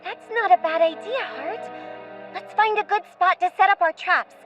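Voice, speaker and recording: A woman as a very high-voiced headstrong girl. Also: normal speed, over music. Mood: eager. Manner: eager, headstrong. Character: bold, trusting young girl adventurer, eager and headstrong.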